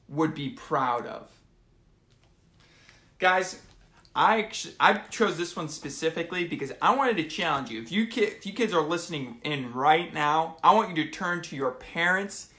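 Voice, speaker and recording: A man in his twenties hosting a children's storytime, reading aloud and chatting informally in an animated way, recorded in a small room at home. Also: fairly high pitch. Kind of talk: casual live storytime, reading aloud to kids. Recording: roomy home phone recording.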